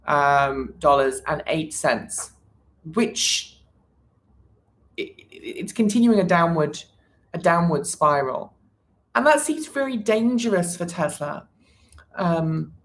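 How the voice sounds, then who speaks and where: A woman with a slightly low voice hosts a casual livestream from her computer. She talks loosely and keeps restarting her sentences with lots of ums. She stops now and then to greet the viewers in the chat. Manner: hesitant, many fillers and restarts; chats with viewers, reads the chat. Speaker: livestream host.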